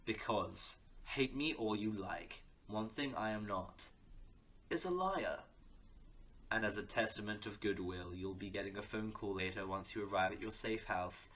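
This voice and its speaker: A man's voice: lowish voice, unhurried, fairly bright tone. A man playing a smug, sadistic crime boss in an audio drama, taunting theatrically and slipping into menacing whispers. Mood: smug menace. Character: smug, sadistic male crime-boss villain who threatens softly. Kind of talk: audio roleplay drama acting.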